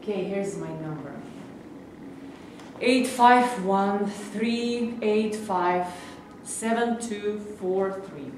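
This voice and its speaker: A woman's voice: mid-range voice, very slow, darkish tone. A woman acting a stubborn, protective adult granddaughter in a staged reading, insistent and emotional. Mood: earnest, resigned. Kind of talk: amateur staged reading of a play. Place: live staged reading in a reverberant room. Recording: very echoey room, distant mic, faint noise.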